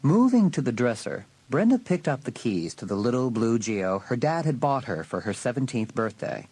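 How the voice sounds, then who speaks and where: A man's voice: medium pitch, sing-song, normal speed, darkish tone, breathy edge, dry recording. A man reading scripted story narration as an audiobook narrator.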